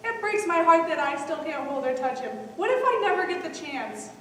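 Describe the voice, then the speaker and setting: A woman's voice: high voice, very animated, fairly quick, a bit bright. A woman on stage playing a lonely, heartbroken elderly great-grandmother in a care home. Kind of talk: stage monologue in a one-act play. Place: on stage in a large hall. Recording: echoey hall from far off, faint noise.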